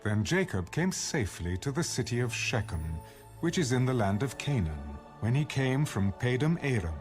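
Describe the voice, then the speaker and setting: A man narrating dramatized scripture with measured storytelling. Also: mid-range voice, very animated. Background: music.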